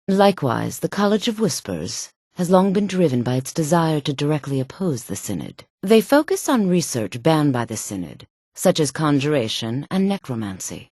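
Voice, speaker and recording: A woman's voice: low-pitched, a little mellow, breathy edge, no room sound, studio quality, full character voice. An actress playing a haughty woman mage in a fantasy video game, speaking in a sultry, formal, imperious way. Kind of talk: video game character voice acting.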